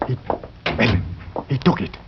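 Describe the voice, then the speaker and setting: A man playing a teasing, excitable, affectionate working-class husband with a folksy, coaxing manner in 1940s radio drama style. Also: normal speed, close to an everyday voice. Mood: excited. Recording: muffled, noisy 1940s radio recording.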